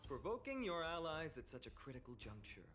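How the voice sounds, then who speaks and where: A man's voice: on the high side, very animated, fairly quick. A man voicing a youthful, easygoing heroic swordsman in a video game, with a wry, theatrical delivery. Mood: challenging. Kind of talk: dramatic video game voice acting. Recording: long reverb, loud music underneath. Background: game music.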